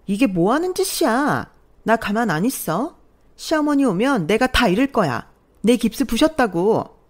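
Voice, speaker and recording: A haughty, spoiled young sister-in-law with a high, shrill voice, as a woman storyteller puts her on. She sounds indignant and sharp. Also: big pitch swings, moderate pace, lightly acted.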